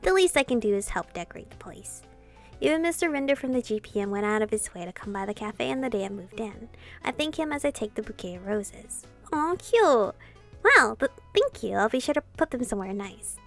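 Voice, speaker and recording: A woman doing casual, expressive gameplay commentary, reading visual novel dialogue aloud and adding sweary asides. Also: fairly high pitch, a little fast. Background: game music.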